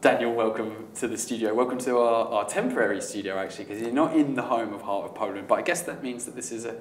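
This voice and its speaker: A male podcast host with a friendly, joking way of asking questions. His voice sits at a middling pitch. It is recorded in an echoey room with a faint hum underneath. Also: quick pace. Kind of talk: casual interview hosting. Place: temporary studio in an office building.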